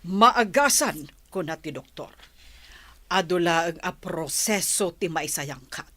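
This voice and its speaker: Slow-talking scolding grandmother played by a woman. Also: on the low side, expressive, sharp tone, no room sound, exaggerated voice. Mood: matter-of-fact. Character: sharp-tongued old grandmother scolding her idle grandson. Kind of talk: radio comedy drama acting.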